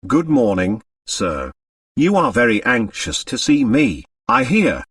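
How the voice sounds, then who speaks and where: A man plays a boyish, charming idler of thirty-four in an audiobook. He drawls lazily with airy irony, and his pitch swings freely. The microphone is a little way off. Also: sing-song, takes it slowly, a bit bright, breathy edge, no room sound, clean studio sound, far from the mic, exaggerated voice. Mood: pleasant, ironic. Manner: lazy, airy, ironic, nonchalant. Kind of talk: audiobook character dialogue.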